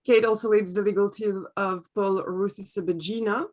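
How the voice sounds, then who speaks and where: A woman with a French accent moderates an online panel over a video call. She introduces the speakers formally and politely, with a slightly halting delivery. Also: mid-range voice, steady tone, a little mellow. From France.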